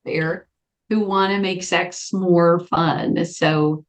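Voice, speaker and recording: A woman clinical psychologist, a guest on a podcast, explaining her ideas in a lively, conversational way. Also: on the low side, drawn-out words. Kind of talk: conversational interview.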